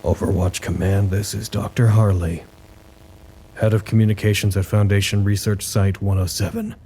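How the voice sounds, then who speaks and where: A man acting as a male communications chief at a secret research site, with a low, soft-edged, dark voice. He reports in a measured, official manner, as in a scripted audio drama. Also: flat delivery, slight background noise, close to an everyday voice. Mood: formal, matter-of-fact. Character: weary male doctor, foundation communications head, dutiful and halting.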